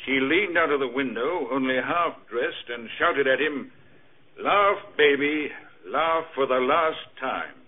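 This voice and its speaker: An actor playing a dutiful police assistant reporting evidence in an old-time radio crime drama. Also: male voice, mid-range voice, takes it slowly, phone-quality sound. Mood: dutiful, factual. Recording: thin band-limited old radio recording.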